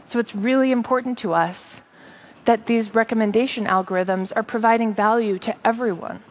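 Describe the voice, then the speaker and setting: A woman in her thirties delivering a rehearsed tech keynote on a large stage, speaking in a measured, earnest and articulate way. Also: a little high. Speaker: tech company presenter.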